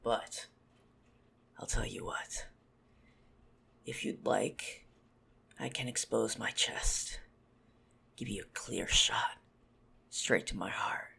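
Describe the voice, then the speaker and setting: Man acting as a low, gravelly whispering possessive vampire. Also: pushed and bright, heavily acted. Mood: mocking, haughty. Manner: haughty, teasing, slow deliberate delivery with breathy murmurs. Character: possessive, menacing, smug male vampire stalker. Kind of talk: ASMR roleplay.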